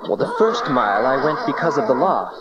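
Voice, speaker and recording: An actor playing a humble, steadfast young husband in an audio Bible drama, polite and gently stubborn. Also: male voice, medium pitch, sing-song, a little fast, natural voice. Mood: polite, earnest. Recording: muffled cassette tape, busy crowd behind.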